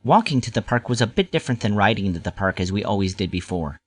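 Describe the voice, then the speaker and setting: A man's voice: fairly low pitch, lively intonation, quick pace. A man narrating a scripted, nostalgic first-person story as its storyteller.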